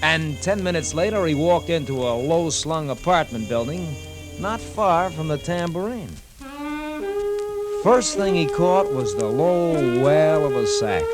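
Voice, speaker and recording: A man's voice: on the high side, very animated, measured pace. A man narrating a hard-boiled 1950s radio detective story in slangy storytelling style. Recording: 1950s radio recording with music behind.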